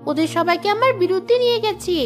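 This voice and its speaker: A vain young cartoon daughter with a tiny, extremely high voice. She sounds girlish, whiny and petulant, as in a family drama. Clear tinkly music plays behind her. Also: quick pace. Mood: indignant, jealous.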